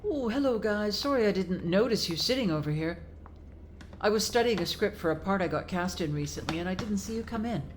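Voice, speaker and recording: A woman's voice: medium pitch, expressive, brisk, a little hiss or hum, close to an everyday voice. A woman playing a gracious, friendly famous actress in audio drama dialogue, polite and cordial.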